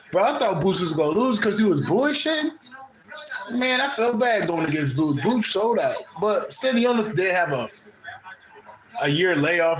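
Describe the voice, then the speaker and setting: A man's voice: high voice, fairly bright tone, roomy sound, low-quality recording. A man with a Midwestern American accent chatting loosely with a caller, laughing often and talking in heavy slang with lots of swearing. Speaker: host of a spiritual channel. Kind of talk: casual chat with a live caller.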